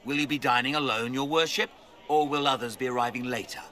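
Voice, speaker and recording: A man's voice: mid-range voice, steady tone, fast, pushed and bright, lightly acted. An actor voicing a formal, obsequiously polite male waiter in a video game. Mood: deferential. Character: formal, deferential male waiter serving an honored guest. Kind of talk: video game character acting.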